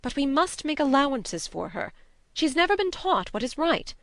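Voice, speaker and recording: A woman voicing a stern, reserved middle-aged woman guardian who speaks in a firm, measured way. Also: high-pitched, sing-song, a bit bright, dry recording, studio quality, pitched above the performer's own voice, heavily acted.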